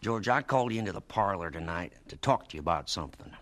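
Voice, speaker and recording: An actor playing a gruff but kindly farmer and boss in a radio drama, blunt, folksy and emphatic. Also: male voice, sits low, animated, fast, fairly bright tone. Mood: earnest, confiding.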